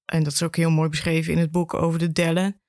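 A woman's voice: low voice, little pitch movement, fast talker, darkish tone, dry recording, clean studio sound. A woman explaining informally as an interview guest, with some hesitation. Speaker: archive education officer, podcast guest.

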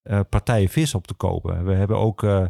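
A man explaining things as a guest in an interview, in a halting manner with many pauses. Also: low-pitched, fairly even intonation, gravelly, dark tone, no echo, clean studio sound. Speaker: regional archivist, podcast guest.

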